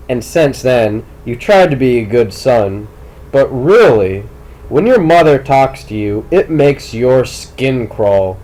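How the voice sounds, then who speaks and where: Audiobook role: man as a clever teenage jock, coolly insistent and manipulative, very unhurried delivery. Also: medium pitch, darkish tone, rough recording. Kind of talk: audiobook character dialogue.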